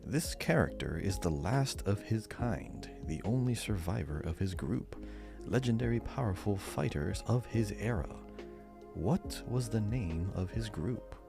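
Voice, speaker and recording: A man hosting a casual quiz podcast, reading out questions deliberately and repeating them. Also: lowish voice, expressive, gravelly, music in the background.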